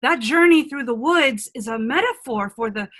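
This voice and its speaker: A woman plays a wise older fairy-tale self speaking out of a magic mirror. Her voice is fairly high and very bright, and she counsels frankly, with earnest reassurance, in radio play style. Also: animated, normal speed. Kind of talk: comedic radio play acting.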